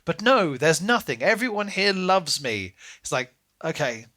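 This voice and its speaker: A man with a very bright, forceful voice talks casually as a podcast co-host. He feels his way through his points, thinking aloud in an informal, conversational style. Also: a little high, sing-song, a little slow. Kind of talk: casual discussion.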